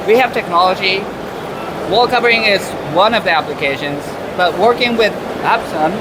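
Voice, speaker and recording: Hesitant Japanese man, noisy event. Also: high-pitched. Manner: hesitant, many pauses and uhs. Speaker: company executive. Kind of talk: panel talk at a trade event. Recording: loud background noise.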